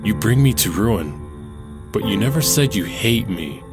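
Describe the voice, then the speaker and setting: An actor playing a weary young man arguing with his own doubts, earnest and low-pitched, in an audio drama. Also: lively intonation, measured pace, music in the background. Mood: pleading.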